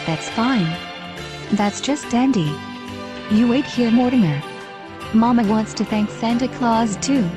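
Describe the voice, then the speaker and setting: An actress playing an exasperated mother out shopping, with a sarcastic, deliberate manner in an old-time radio drama. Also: on the high side, lively intonation, music in the background.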